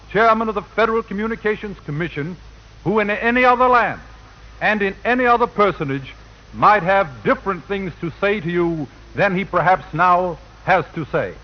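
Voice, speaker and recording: A dull, muffled 1940s radio recording of a male announcer. His voice is very high for a man, and he speaks in a formal, stately, ceremonious style. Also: animated, normal speed. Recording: muffled 1940 radio broadcast.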